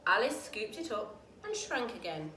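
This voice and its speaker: A woman reading a children's bedtime story aloud in an expressive, dramatic storytelling style. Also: mid-range voice, normal speed. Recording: roomy, distant mic with faint noise.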